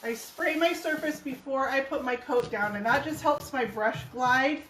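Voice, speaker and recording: A hoarse woman talks viewers through a painting demo in a casual, chatty way, her voice sitting a bit high. She sounds set back from the mic in a roomy space. A faint hum of room noise sits underneath. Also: moderate pace, a bit bright, distant mic. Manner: chatty, laughs easily. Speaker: furniture painting host. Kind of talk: live painting tutorial.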